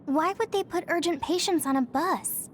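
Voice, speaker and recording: A woman's voice: very high voice, normal speed, a little hiss or hum. A woman playing an earnest, helpful young female soldier in a video game cutscene. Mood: hesitant, puzzled.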